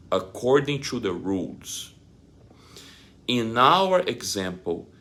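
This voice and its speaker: A man in his forties giving a scripted explainer straight to the viewer, deliberate and emphatic, pausing between short phrases. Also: on the low side, a bit bright. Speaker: presenter of divorce self-help videos. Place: indoors, small plain room.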